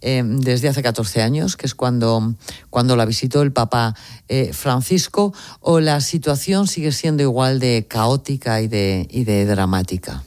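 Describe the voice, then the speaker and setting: Brisk woman radio news presenter. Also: very deep voice, darkish tone.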